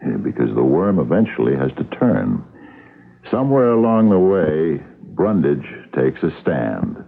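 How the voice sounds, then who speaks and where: A muffled radio broadcast carries a hard-nosed police captain. His voice is extremely low and husky. He talks bluntly, with sardonic, accusing bite. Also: big pitch swings, a little slow, full character voice. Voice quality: gravelly. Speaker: radio drama actor. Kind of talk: radio mystery drama acting.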